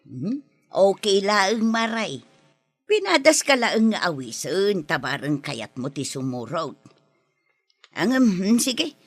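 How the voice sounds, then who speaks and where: An actress with an Ilocano accent playing a mischievous village housewife in a radio comedy, coaxing and playful. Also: female voice, mid-range voice, big pitch swings, slow pace, no echo, soft background music, exaggerated voice.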